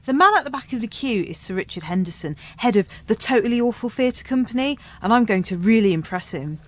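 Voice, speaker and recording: Woman playing a starry-eyed check-in girl, highish voice. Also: expressive, a little fast, lightly acted. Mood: eager. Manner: eager, self-assured, theatrical. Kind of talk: radio comedy acting.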